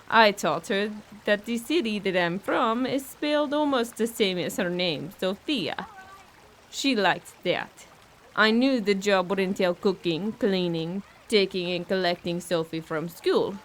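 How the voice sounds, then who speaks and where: A woman's voice: mid-range voice, slight background noise, lightly acted, low-quality recording. A young woman acting a blunt, resentful au pair in an audio horror drama. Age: young adult. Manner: blunt, complaining.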